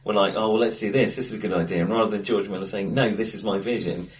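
A man co-hosting a casual film discussion, talking in halting stops and restarts with frequent ums and a ready laugh. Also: fairly low pitch, fairly even intonation, recorded from a distance. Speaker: podcast co-host. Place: acoustically bright room, face to face. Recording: bright room, voice a little off-mic.

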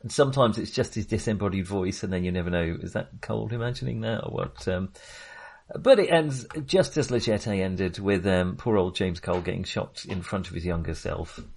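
Low-pitched man chatting about films. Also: fairly quick, gravelly, darkish tone, slightly rough. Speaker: podcast co-host. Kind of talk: casual film discussion.

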